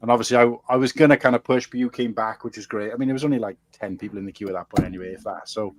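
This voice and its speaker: A man's voice: on the low side, fairly even intonation, racing, no echo. A Welsh man hosting a casual chat show, rambling and friendly, calling people mate. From Wales. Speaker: podcast host.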